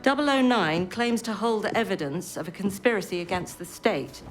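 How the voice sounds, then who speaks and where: An actress playing an intelligence chief in a video game, formal, dry and authoritative. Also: female voice, mid-range voice, expressive, normal speed, faint music behind, natural voice. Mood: businesslike, commanding. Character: authoritative, dryly commanding female British spy chief. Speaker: intelligence chief briefing agents. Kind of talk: video game cutscene acting.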